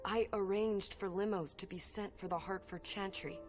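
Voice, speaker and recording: A nervous, deferential woman acted for a video game, defensive and frightened, at a middle pitch. Clear music plays behind her. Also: fairly even intonation, moderate pace, lightly acted. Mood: anxious, defensive. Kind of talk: dramatic video game cutscene acting.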